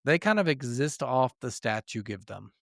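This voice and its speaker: A man's voice: mid-range voice, sing-song, moderate pace, gravelly, no room sound, clean studio sound. A man co-hosting a gaming podcast, giving blunt, skeptical opinions in casual, sweary conversation.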